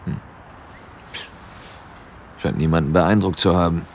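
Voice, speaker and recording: A man's voice: deep voice, steady tone, a little slow, darkish tone. An actor playing an eager, ambitious male police officer in an audio drama, casual and bold. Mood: wary. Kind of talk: German audio drama acting. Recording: vehicle noise behind.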